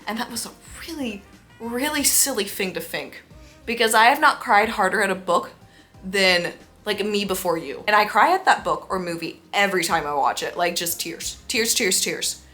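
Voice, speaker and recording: A woman's voice: medium pitch, lively intonation, normal speed, pushed and bright, soft background music. A young woman book vlogger chatting casually and enthusiastically, gushing and repeating words for emphasis. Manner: gushing, effusive, emphatic repetition. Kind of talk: casual enthusiastic chat to camera.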